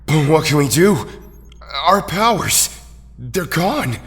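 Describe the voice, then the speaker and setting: An actor playing an anxious, cautious superhero teammate in an audio drama. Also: male voice, fairly high pitch, very animated, slow, a bit bright, heavily acted. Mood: dismayed. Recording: long reverb.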